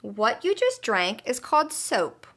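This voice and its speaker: Woman as a bright, helpful storybook butterfly. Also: a little high, big pitch swings, unhurried, exaggerated voice. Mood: instructive. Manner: instructive, encouraging, emphatic. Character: kindly female butterfly, helpful, patient little teacher. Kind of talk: children's storybook read-aloud.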